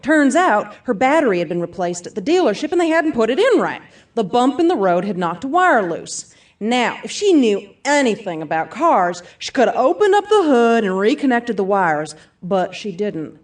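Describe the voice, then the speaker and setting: A woman's voice: a little high, big pitch swings, normal speed, recorded from a distance. An actress playing a brash, upbeat self-defense seminar speaker who addresses her audience emphatically in an audio theatre piece. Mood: dramatic storytelling. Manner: emphatic, peppy motivational-speaker delivery, folksy asides.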